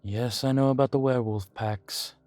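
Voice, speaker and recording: A man playing an ancient vampire in an audio roleplay, guarded, weary and grave, speaking in a measured, deliberate way with dramatic pauses. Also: medium pitch, fairly even intonation, darkish tone, no echo, soft background music, lightly acted. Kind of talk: scripted audio roleplay addressed to the listener.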